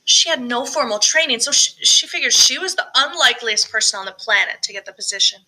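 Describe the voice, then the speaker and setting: Very bright, high-voiced woman narrating. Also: fast, low-quality recording. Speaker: audiobook reader, choir director. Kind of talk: expressive first-person story narration.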